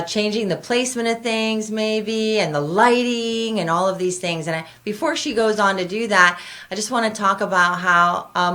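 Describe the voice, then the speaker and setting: A woman's voice: mid-range voice, a bit bright. A woman hosting a conversational health radio show as a doctor, speaking earnestly and directly to her listeners.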